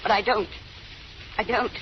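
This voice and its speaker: A woman's voice: medium pitch, steady tone, measured pace, close to an everyday voice. An actress playing an earnest, desperate young woman prisoner who pleads in 1930s radio melodrama style. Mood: anguished, defensive. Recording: muffled, hissy old radio recording.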